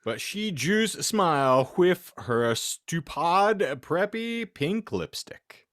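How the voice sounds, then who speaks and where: A man's voice: on the high side, very animated, slow, fairly bright tone, no echo, studio quality. A man hosting a comedy podcast, reading fan fiction aloud theatrically with jokey, sweary banter. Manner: jokey, sweary, theatrical delivery of misspelled text. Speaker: podcast host.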